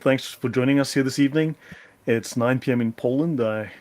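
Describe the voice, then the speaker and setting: A man's voice: mid-range voice, a little fast, darkish tone. A man hosting a livestream over a video call, talking in a friendly, loose way with many fillers. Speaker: livestream host. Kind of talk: casual livestream chat.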